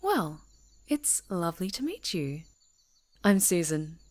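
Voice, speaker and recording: A woman's voice: fairly low pitch, sing-song, takes it slowly, a little mellow. Woman playing a friendly, teasing camper. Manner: easygoing, teasing, conversational. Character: friendly, chatty woman camper on a woodland retreat. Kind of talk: ASMR roleplay, addressing an unheard listener.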